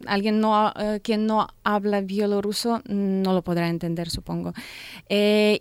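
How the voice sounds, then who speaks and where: A woman from Belarus, answering interview questions in Spanish, her second foreign language, and often pausing to search for words. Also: mid-range voice, a little mellow, smooth, no room sound, clean studio sound. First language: Russian and Belarusian. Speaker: guest, translator and painter.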